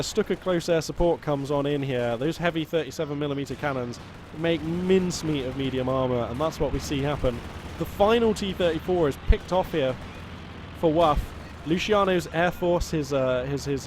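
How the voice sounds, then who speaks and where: Excitable British man casting a game. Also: a little high. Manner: excitable, rambling and jokey. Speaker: game caster. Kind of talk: live strategy game commentary. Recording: loud game battle sounds behind. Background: gunfire, artillery and vehicle noise from the game.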